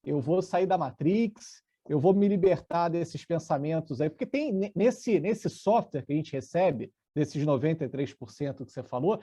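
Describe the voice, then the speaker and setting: A man co-hosting who asks the guest questions in a playful, lively way. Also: a little high, normal speed, hardly any pauses, slightly rough. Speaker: co-host. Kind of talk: casual interview question.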